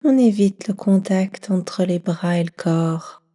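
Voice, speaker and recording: A woman leading a guided yoga nidra meditation, speaking softly and soothingly and often trailing into a breathy whisper. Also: mid-range voice, takes it slowly. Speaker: yoga nidra teacher guiding a session.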